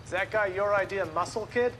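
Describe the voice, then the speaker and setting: An actor playing a cocky little gangster boss in a video game, with a needling, tough-talking manner. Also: male voice, high voice, fairly quick, background noise, natural voice. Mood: taunting. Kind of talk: dramatic video game acting.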